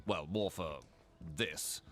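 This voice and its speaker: A man's voice: deep voice, takes it slowly, a bit bright. An actor voicing a wry male lord in a fantasy video game, with drawling emphasis. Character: wry, unhurried male lord greeting adventurers.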